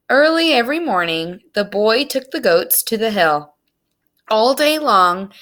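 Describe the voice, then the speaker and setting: Slow-paced woman reading a children's story. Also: mid-range voice, a bit bright. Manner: simple, deliberate phrasing for children. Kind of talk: read-aloud storybook narration.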